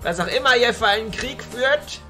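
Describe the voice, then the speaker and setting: A man playing an imposing archchancellor illusionist, booming and stately, in a fantasy audio drama. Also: lively intonation, normal speed, pushed and bright, faint music behind, heavily acted. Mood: commanding.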